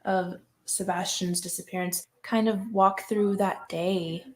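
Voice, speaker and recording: A woman interviewer gently asking open questions. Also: fairly low pitch, steady tone, measured pace.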